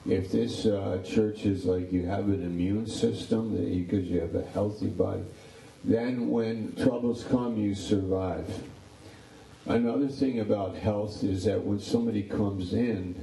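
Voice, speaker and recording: A man's voice: lowish voice, steady tone, measured pace, vocal fry, warm tone, reverberant, distant mic, rough recording. A pastor in his sixties chatting casually about his ministry, rambling and repeating words. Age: 60s. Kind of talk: casual interview chat.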